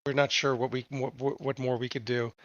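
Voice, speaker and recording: A man's voice: mid-range voice, little pitch movement, a little fast, a bit bright, no echo. Man, tabletop player, casual, stammering, dry, lo-fi. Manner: stammering, repeats words. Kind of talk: casual game talk.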